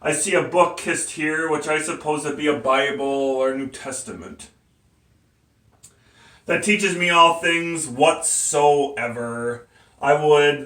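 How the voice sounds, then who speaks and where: Stage monologue: male actor as condemned abolitionist captain, defiant and devout, tense bright voice, deliberate slow emphatic delivery. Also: a little high. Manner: halting, emphatic oratory with stumbles. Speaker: poet and playwright performing a monologue. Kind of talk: dramatic courtroom speech, theatrical monologue. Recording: echoey room, distant microphone.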